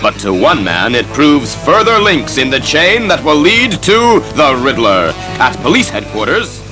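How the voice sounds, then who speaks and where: A man's voice: medium pitch, sing-song, a little slow, music in the background. A man delivering dramatic scripted narration for a superhero audio drama.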